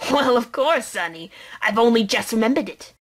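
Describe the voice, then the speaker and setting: A woman's voice: on the high side, animated, normal speed. A woman playing a feisty, crabby elderly security guard in an amateur fan dub of a game, talking in a folksy, scolding way. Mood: explaining. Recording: music playing behind the voice.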